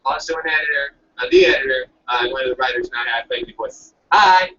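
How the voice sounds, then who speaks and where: A man's voice: medium pitch, fast talker, sharp tone. A man, a director and editor, chatting over a call in an eager, rambling way. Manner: eager, rambling, self-correcting. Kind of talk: casual chat over a call. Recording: distant lo-fi call audio with faint hiss.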